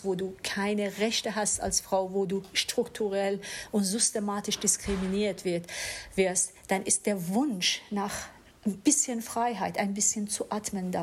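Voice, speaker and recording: A woman's voice: medium pitch, a little mellow, husky. A middle-aged woman from Iran speaking German as a second language, telling her story earnestly on a panel and repeating words for emphasis. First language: Persian. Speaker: democracy educator, guest. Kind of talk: personal testimony in a panel talk. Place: event room with an audience.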